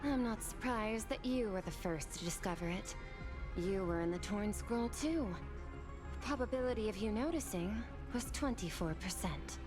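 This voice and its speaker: A woman acts an analytical female official in a game, cool and logical. She speaks at a middling pitch with clinical, exact formality, over clear background music. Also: expressive, moderate pace, lightly acted. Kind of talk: dramatic game voice acting. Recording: music behind the voice.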